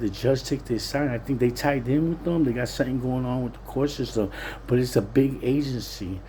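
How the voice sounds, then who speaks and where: A man's voice: mid-range voice, mellow, a little hiss or hum. A plain-spoken, streetwise Puerto Rican man giving a casual, rambling, repetitive monologue. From Puerto Rican, living in Pennsylvania, USA. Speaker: vlogger.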